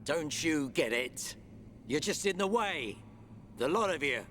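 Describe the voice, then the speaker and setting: Slow, sneering, gloating villain. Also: male voice, on the high side, expressive, a bit bright, slight background noise. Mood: mocking, gloating. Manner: sneering, taunting. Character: mocking, callous villainous traitor man, gloating. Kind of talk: video game cutscene acting.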